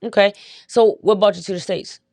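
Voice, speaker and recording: A woman's voice: medium pitch, fairly even intonation, moderate pace, a little mellow, no echo, studio quality. A woman hosting a podcast in a hyped, slangy, upbeat way, casually chatting with her guest. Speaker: podcast host. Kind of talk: casual interview chat.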